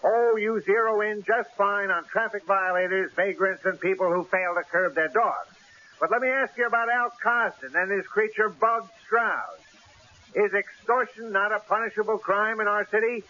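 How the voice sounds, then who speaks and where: An actor playing a cautious, exasperated newspaper editor in an old-time radio drama, brusque and argumentative. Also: male voice, high voice, fairly even intonation, moderate pace, bright, forceful tone. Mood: declaiming, reading aloud. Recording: hissy, dull old radio broadcast recording.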